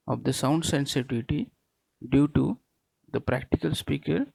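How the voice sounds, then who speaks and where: Male teacher, very creaky voice, didactic technical lecture, dry close sound. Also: medium pitch, darkish tone, dry recording. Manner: didactic, punctuates points with check-in words. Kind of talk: lecture explaining technical points.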